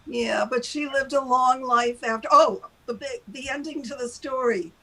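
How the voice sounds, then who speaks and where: An older woman telling an anecdote casually, in a deliberate and emphatic manner, as a talk show host. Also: sits high, fairly bright tone, rough recording. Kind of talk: casual storytelling.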